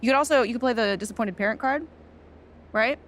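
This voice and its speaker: A woman's voice: a little high, quick pace, slight background noise, natural voice. A woman playing a blunt, sardonic, no-nonsense sports agent in a naturalistic video game cutscene. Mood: coaching. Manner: blunt, clipped, impatient.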